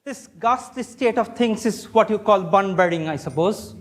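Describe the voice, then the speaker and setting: A young man playing a flustered Victorian gentleman in a stage comedy, halting and blustering theatrically. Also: sits high, slight background noise, close to an everyday voice. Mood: exasperated. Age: young adult. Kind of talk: amateur stage play performance.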